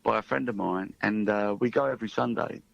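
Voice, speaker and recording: A man in his fifties chatting as a musician guest on a radio show, halting and anecdotal, self-deprecating. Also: fairly low pitch, vocal fry, lo-fi sound. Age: 50s. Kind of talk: casual radio interview chat.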